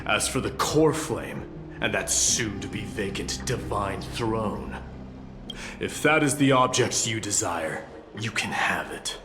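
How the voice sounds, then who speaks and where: Slow, low, stern warrior. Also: male voice, lively intonation. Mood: grim, resolute. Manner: grave, deliberate, disdainful. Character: proud, stern warrior prince, grimly resolute. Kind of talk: English video game voice acting. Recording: echoey, music underneath.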